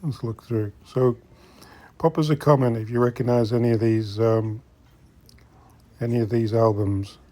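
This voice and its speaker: A man's voice: mid-range voice, fairly even intonation, moderate pace, pauses often, mellow. A middle-aged man giving casual, chatty commentary to viewers while browsing in a shop, sometimes muttering to himself. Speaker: host of a vintage collecting vlog. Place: inside a secondhand shop.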